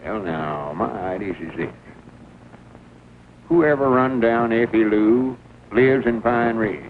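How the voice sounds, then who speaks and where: An earnest small-town storekeeper with a rural Southern American accent, folksy and full of opinions. The sound is a tinny, faintly noisy soundtrack from an old comedy film. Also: male voice, fairly low pitch, slow. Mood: folksy, deliberate. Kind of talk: 1940s film comedy-drama acting.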